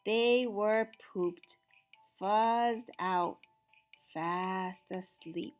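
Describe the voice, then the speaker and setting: A woman reading aloud to children in an expressive, dramatic, deliberate storytime style. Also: medium pitch, faint music behind. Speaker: storytime reader.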